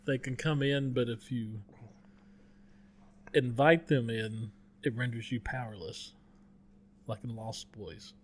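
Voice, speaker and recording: A man co-hosting a podcast in relaxed, conversational banter. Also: medium pitch, slow, long pauses. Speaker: podcast co-host. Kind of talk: casual banter. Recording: clear background noise, room ambience.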